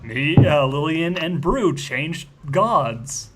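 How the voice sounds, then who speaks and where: Higher-voiced man rambling through game chat. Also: expressive, slow pace, heavy background noise. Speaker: host and player of a tabletop roleplaying game. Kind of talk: casual tabletop game chat.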